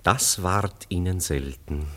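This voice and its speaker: An actor playing a witty, worldly bachelor in a classic radio play, urbane and sardonic. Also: male voice, low voice, slow pace, sharp tone, full character voice. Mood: urbane, charming. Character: witty, ironic, worldly male bachelor friend.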